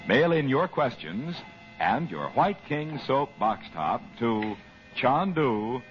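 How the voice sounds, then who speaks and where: A man's voice: big pitch swings, takes it slowly. Upbeat old-time radio announcer, man. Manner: upbeat, persuasive pitch delivery. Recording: dull old radio broadcast with music bed.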